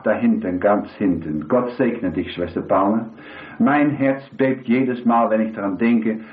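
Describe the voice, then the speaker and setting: A hoarse man preaches to his congregation, wandering from thought to thought and throwing questions out to the pews. His voice is recorded tinny and thin, like over a phone line, with a roomy echo around it. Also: mid-range voice. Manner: rambling, asks the congregation questions. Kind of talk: sermon to a congregation. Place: church hall with congregation. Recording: echoey, tinny lo-fi hall recording.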